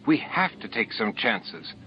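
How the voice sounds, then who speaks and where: A man's voice: medium pitch, lively intonation, a little slow, lightly acted. A man voicing a scheming, sneering male alien rebel in an audiobook. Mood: goading. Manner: goading, sneering. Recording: dull lo-fi audiobook tape.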